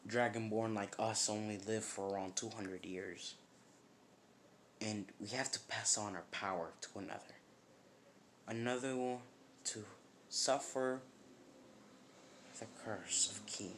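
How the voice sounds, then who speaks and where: Grave old dragonborn mentor acted by a man, blunt, slow delivery, amateur story recording with faint noise. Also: on the low side, fairly even intonation, a bit bright. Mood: solemn, confiding. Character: old male dragonborn martial arts master, grave, secretive.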